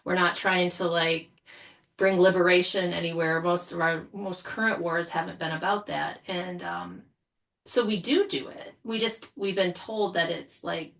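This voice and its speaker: A woman's voice: on the low side, fairly even intonation, a little slow, vocal fry, a bit bright. A woman on a podcast panel giving her opinion casually and plainly, building her case piece by piece.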